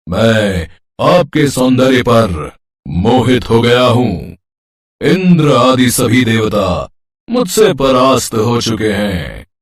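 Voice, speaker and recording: Arrogant, slow-talking demon king. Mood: smitten, boastful. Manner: boastful, theatrical, drawn-out delivery with booming threats. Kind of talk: Hindi mythological cartoon storytelling.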